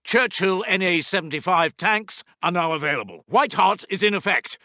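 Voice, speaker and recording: High, bright British wartime intelligence officer. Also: male voice, lively intonation, normal speed, dry recording, studio quality. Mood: brisk, formal. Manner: clipped, formal, urgent military reporting. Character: brisk, dutiful British Second World War intelligence officer. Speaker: video game intelligence announcer. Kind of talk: scripted military game voice lines.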